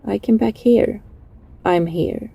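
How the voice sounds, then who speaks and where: A woman playing a lovesick, desperately devoted former bully from the future in an intimate audio roleplay, speaking haltingly and emotionally in a soft, husky voice. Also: medium pitch, heavily acted. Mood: tender, earnest. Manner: halting, emotional, breathy sighs and stammers.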